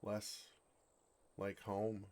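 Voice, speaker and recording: A man voicing a bashful, earnest dragon in love in an ASMR fantasy roleplay, speaking tenderly with hesitant, awkward pauses. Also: on the low side, completely flat delivery, darkish tone.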